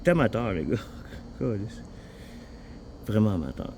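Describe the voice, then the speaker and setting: A man with a Quebec French accent chatting casually in a rambling, halting way, repeating himself and swearing informally. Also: fairly low pitch, very animated, mellow, slight background noise. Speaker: vlogger talking with an AI assistant.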